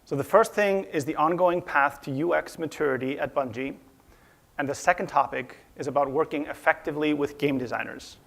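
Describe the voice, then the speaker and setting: A man from Iceland giving a measured, slightly formal conference talk about leading a design team. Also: fairly high pitch, vocal fry, fairly bright tone. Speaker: conference speaker, studio UI/UX director. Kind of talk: presentation talk. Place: conference stage.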